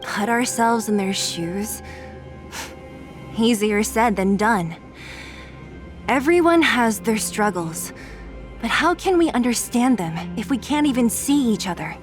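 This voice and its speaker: A woman's voice: on the high side, lively intonation, takes it slowly, pauses often. A woman playing a blunt, scrappy young freedom fighter in a video game, frank and tough-talking. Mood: frank, reflective. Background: game music.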